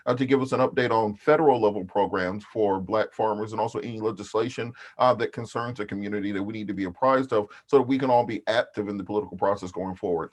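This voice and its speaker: A man moderating a panel, introducing speakers and posing questions in a formal, slightly halting way. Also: fairly low pitch.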